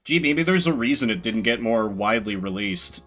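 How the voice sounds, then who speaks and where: A man's voice: medium pitch, expressive, normal speed, fairly bright tone. A man doing solo video game commentary, reading game text aloud dramatically and adding sardonic, rambling asides. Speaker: game streamer.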